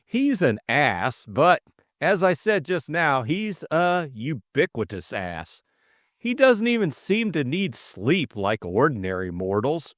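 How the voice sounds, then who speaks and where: A man voicing a flippant young gentleman sleuth in an audiobook, glib, drawling and teasing. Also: fairly high pitch, very animated, takes it slowly, no echo, clean studio sound, pitched above the performer's own voice, full character voice. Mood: amused grumbling. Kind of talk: audiobook character acting.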